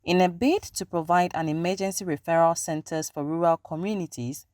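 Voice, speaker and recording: A woman's voice: on the low side, fairly quick, noisy background. A woman with a Ghanaian accent reading scripted news narration as a reporter. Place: close dry voice-over recording.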